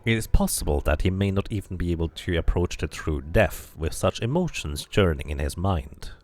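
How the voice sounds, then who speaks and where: A man's voice: sits low, lively intonation, normal speed, a little mellow. A man streaming a game, switching between casual commentary and reading the story text aloud as narration.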